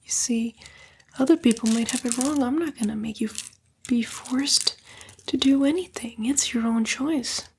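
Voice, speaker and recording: A woman voicing a sly female demon in a whispered, breathy ASMR roleplay, coaxing and taunting the listener in a casual way. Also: fairly high pitch, moderate pace, background noise, close to an everyday voice. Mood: cynical, goading.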